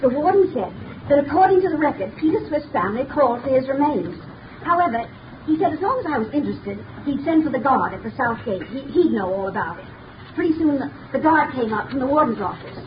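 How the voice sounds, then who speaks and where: A woman's voice: high voice, lively intonation, fast talker, muffled recording. An actress playing a shaken young female companion who speaks hesitantly and stammers, in 1930s radio acting. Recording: scratchy 1930s radio recording, from far off.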